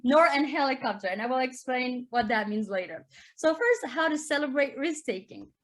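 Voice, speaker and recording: A woman's voice: expressive, moderate pace, fairly bright tone. A woman from France with a French accent, giving an earnest, explanatory conference talk as a primary school teacher. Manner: earnest, explanatory, rises in pitch to stress key points.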